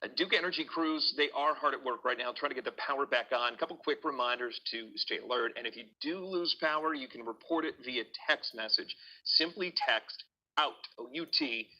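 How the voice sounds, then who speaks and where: Very bright, forceful male news anchor. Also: mid-range voice, a little fast, low-quality recording. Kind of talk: live news presenting, partly ad-libbed. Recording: faint noise on broadcast audio.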